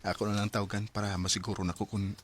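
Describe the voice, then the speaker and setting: An actor playing an earnest, lovestruck young man in a radio drama, eager and soft-hearted. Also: fairly low pitch, level tone, fast, heavy background noise, natural voice. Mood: hopeful, eager. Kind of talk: radio drama acting and letter narration.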